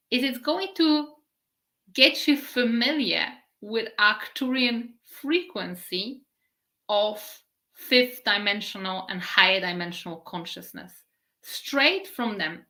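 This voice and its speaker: A middle-aged woman from Poland gives a spiritual live-stream talk. Her voice is bright and forward, and she stretches out key words slowly. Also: a little high. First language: Polish. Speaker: spiritual teacher.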